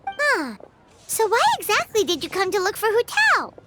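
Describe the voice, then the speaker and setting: A woman voicing a tiny, childlike fairy companion in a video game, curious, excitable, squeaky and exclamatory. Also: normal speed, heavily acted. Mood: puzzled. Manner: squeaky, exclamatory, refers to self by name. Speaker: game character voice actor. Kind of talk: scripted video game dialogue. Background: loud game music.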